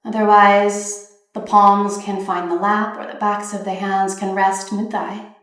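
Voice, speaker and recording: A woman leading a guided meditation, giving gentle, soothing instructions in an unhurried way with pauses. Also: mid-range voice, little pitch movement. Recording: very echoey, reverberant room.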